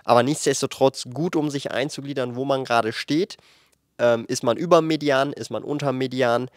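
A young man in his late twenties speaks into a handheld mic, frank and animated, thinking aloud with plenty of fillers. His voice sits at a middle pitch and the sound is close and dry, with no room echo. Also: moderate pace. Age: 20s. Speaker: finance YouTuber. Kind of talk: casual explanatory commentary to camera. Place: indoor studio room with shelves of collectibles. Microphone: handheld microphone.

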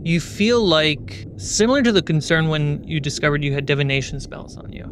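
A man's voice: on the high side, animated, moderate pace, noisy background. A man speaking casually as game master, narrating scenes and calling for rolls in a tabletop fantasy game. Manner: casual, conversational.

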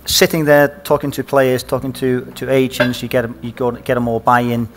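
A man in his thirties with an Icelandic accent, speaking on a conference stage panel through a headset mic, halting often with fillers and restarts. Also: medium pitch, flat delivery, soft background music. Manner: hesitant, many fillers and restarts. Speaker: panelist, former footballer.

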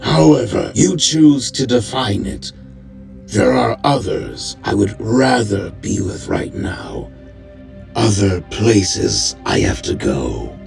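Megatron, a cold gravelly robot warlord, voiced by a man. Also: mid-range voice, very animated, recorded from a distance, faint music behind, heavily acted. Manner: cold, clipped, measured. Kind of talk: fan comic dub.

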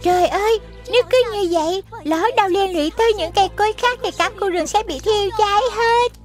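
A gentle, reasonable little cartoon rabbit. The voice is extremely high and childlike. Music sits softly underneath. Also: female voice, fairly quick. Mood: alarmed. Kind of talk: dubbed children's cartoon acting. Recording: music behind the voice.

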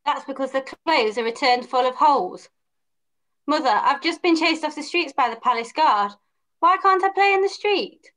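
A young woman plays a cheeky, lazy pantomime boy. The voice is high, with a questioning, lightly acted delivery. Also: animated, normal speed, fairly bright tone. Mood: cheeky, curious. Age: young adult. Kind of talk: pantomime script read-through. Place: room at home, video call.

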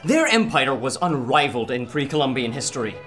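An actor playing an earnest, polite archaeologist in an audio adventure drama, courteous and a little hesitant. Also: male voice, mid-range voice, sing-song, a little fast, a bit bright, soft background music.

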